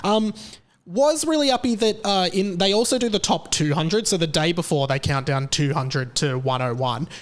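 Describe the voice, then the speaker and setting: A man's voice: high-pitched, normal speed. An Australian man in his thirties hosting a casual solo podcast, rambling and self-mocking with lots of fillers and restarts. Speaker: podcast host.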